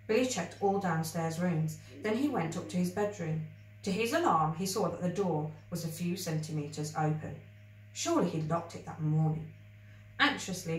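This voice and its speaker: A woman reading a children's story aloud with expressive narration. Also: on the low side. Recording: roomy, echoey, distant microphone.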